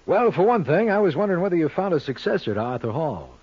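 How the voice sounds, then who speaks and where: An actor playing a shrewd, easygoing criminal lawyer with wry, bantering delivery in an old-time radio crime drama. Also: male voice, on the high side, big pitch swings, quick pace, slightly rough, no room sound, highs cut off, full character voice.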